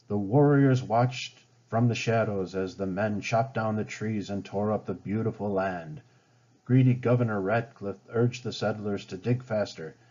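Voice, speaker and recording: A man's voice: lowish voice, dark tone. A man reading a children's story aloud as a storybook narrator. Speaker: storybook reader. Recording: dull lo-fi home recording.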